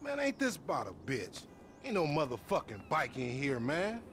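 An actor playing a streetwise young hustler in a game cutscene, talking cocky, profane street slang. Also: male voice, a little high, huge pitch swings, normal speed, over loud music. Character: streetwise young male car repo hustler. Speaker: video game protagonist. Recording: loud game score and gunfire behind.

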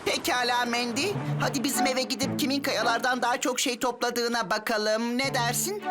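A woman's voice: a little high, fairly even intonation, moderate pace, lightly acted. A woman in a cartoon dub voicing a cheeky, boastful young boy. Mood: smug, teasing. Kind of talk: animated cartoon dub acting. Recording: loud music behind the voice.

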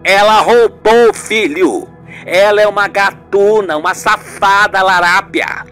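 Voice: medium pitch, slow pace, fairly bright tone, faint music behind. A performer voicing a haughty, insistent older mother in a radio soap, with a melodramatic, scolding manner. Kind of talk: radio soap opera acting.

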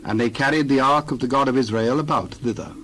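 A man narrating a dramatized scripture reading in a measured, steady way. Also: mid-range voice, slight background noise. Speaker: scripture reader.

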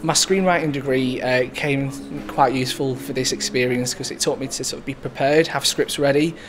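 A man's voice: medium pitch, steady tone, normal speed, a crowd in the background. A man in his twenties talking casually in an interview as a young writer and animator, in an indoor hall. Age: 20s. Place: large screening hall, indoors. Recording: faint room noise.

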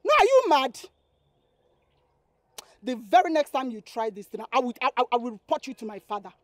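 A woman's voice: fairly high pitch, quick pace, no echo, close to an everyday voice. A young woman, scolding and indignant in a comic skit.

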